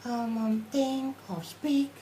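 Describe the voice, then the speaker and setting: A woman speaks as a radio guest, hesitant and searching for her words with frequent ums and loose grammar. Her voice is fairly high and sounds set back from the mic. The room around her is echoey, with faint hiss. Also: fairly even intonation, takes it slowly, mellow. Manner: hesitant, many ums, broken non-native grammar. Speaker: studio guest. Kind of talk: radio panel discussion.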